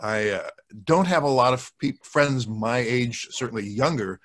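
A man in his sixties talking as a podcast guest in a casual, thoughtful, halting way, close to a desk microphone at home. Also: mid-range voice, a bit bright. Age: 60s. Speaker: podcast guest, radio show producer. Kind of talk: casual discussion of old radio and film. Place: home room, video call. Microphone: large desk microphone, close.

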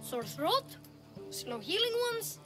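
A woman's voice: very high-pitched, big pitch swings, slow pace, full character voice. A woman voicing a young boy who is a confident, salesy tour guide and huckster, in a video game. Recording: game music underneath.

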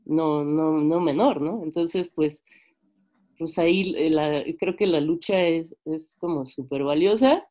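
A woman's voice: sits low, slow pace, dark tone. A young woman with a Mexican accent speaks informally and hesitantly on a video call, often checking with a tag question. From Mexico, French-Mexican. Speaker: seminar participant, filmmaker. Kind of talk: informal sharing in an online discussion. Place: bedroom, indoors on a video call. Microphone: computer microphone on video call.

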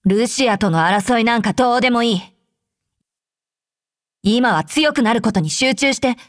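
An actress playing a brash, hot-tempered young female fighter with rough, curt tomboyish speech, in anime game voice lines. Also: on the high side, expressive, normal speed, dry recording, studio quality, close to an everyday voice. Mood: gruff, reluctant. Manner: rough, curt masculine speech, easily flustered.

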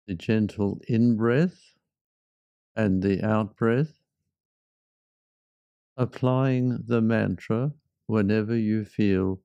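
A man leading a guided meditation in a measured, reflective manner with frequent pauses. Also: fairly low pitch, warm tone, no room sound, highs cut off.

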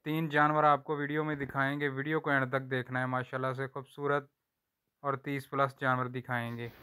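A man gives a flat, even-toned sales walkthrough of cattle on an outdoor farm. His voice is smooth and clear, and he talks in steady, unhurried patter. He is recorded on a handheld phone. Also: mid-range voice. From Pakistan. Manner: repeats a stock religious phrase often. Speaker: livestock seller vlogger.

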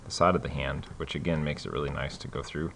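Man with a very low voice, casual tutorial host, loose and halting delivery, light hiss behind. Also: creaky. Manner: relaxed, many fillers and restarts. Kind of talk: casual screen-recorded tutorial.

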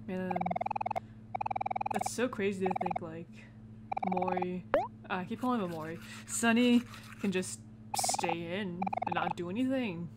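A woman's voice: on the low side, measured pace, long pauses, very noisy. A woman doing casual let's play commentary, chattily thinking aloud and reading game text out as she goes. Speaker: gaming video host.

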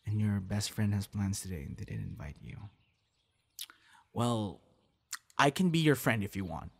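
A man's voice: lowish voice, sing-song, normal speed, full character voice. Man acting a friendly, coaxing young guy. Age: young adult. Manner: earnest, coaxing, sighs and breathy reactions. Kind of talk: ASMR audio roleplay, one-sided dialogue to listener.

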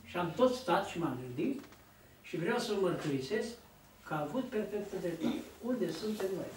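Bărbat în vârstă, predicând într-o sală cu ecou. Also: male voice, high voice, expressive, background noise. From Romania. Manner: storytelling, acts out quoted dialogue, gestures widely. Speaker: preacher. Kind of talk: sermon. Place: church hall, at a lectern. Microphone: far camera. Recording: echoey hall from a distance.

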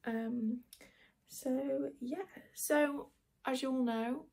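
A woman's voice: high-pitched, fairly even intonation, drawn-out words, gravelly, darkish tone, husky. A twenty-year-old woman chatting casually while doing her makeup in a small bedroom, rambling with lots of fillers. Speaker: beauty vlogger. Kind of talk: casual get-ready-with-me chat. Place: small dim bedroom, indoors.

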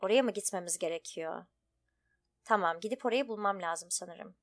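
A woman's voice: mid-range voice, moderate pace. A woman giving casual, informal let's play commentary, thinking aloud as she goes. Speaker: gaming streamer.

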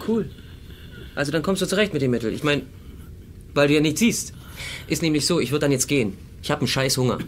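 A man's voice: a little high, lively intonation, quick pace, pauses often, close to an everyday voice. Actor as a blunt, offhand guy. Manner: offhand, colloquial. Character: blunt, casual, slightly clumsy male helper. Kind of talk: German audio drama acting. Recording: faint background ambience noise.

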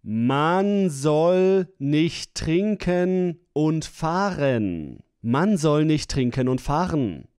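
A man's voice: fairly high pitch, lively intonation, very slow, few pauses, darkish tone, clear, resonant tone, no room sound, clean studio sound. A man reading phrases for a language course, splitting and repeating them with careful enunciation. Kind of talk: slow, clear phrase-by-phrase repetition.

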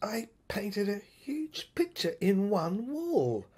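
A woman narrating a dramatic diary monologue in a halting, repetitive, theatrical way. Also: medium pitch, very animated, a little mellow, breathy edge. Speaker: narrator of an audio screenplay. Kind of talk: dramatic first-person monologue, diary reminiscence.

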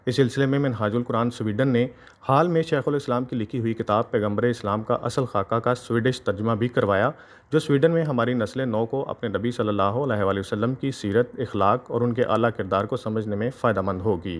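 A man reporting as a field correspondent, reading a formal news voice-over at an even pace. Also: mid-range voice, fairly quick.

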